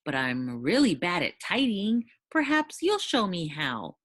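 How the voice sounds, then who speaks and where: A woman's voice: fairly low pitch, wildly swooping pitch, measured pace, fairly bright tone, heavily acted. A woman playing an enormous male dragon in a children's storybook, grumpy yet pleading and childishly eager. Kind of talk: children's storybook read-aloud.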